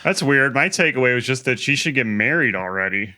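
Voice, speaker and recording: Bright, animated male podcast co-host. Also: mid-range voice, fairly quick. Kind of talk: casual podcast banter.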